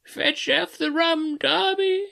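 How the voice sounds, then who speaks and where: A man voicing a high, thin, trembling ghostly pirate voice that sings and wails slowly from far off. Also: steady tone, gravelly, pushed and bright, heavily acted. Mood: wailing. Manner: slow drawn-out singing and wailing.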